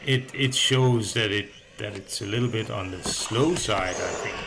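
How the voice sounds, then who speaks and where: A man's voice: on the low side, unhurried, vocal fry, background noise. A man giving a casual, unscripted hobby review commentary, with hesitant, rambling phrasing.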